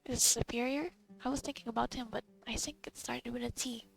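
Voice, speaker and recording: A woman's voice: on the high side, fairly even intonation, brisk, creaky, low-quality recording. A woman casually commenting on a game and reading bits aloud, rambling to herself. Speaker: gamer recording a playthrough. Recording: game music behind the voice. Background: video game music.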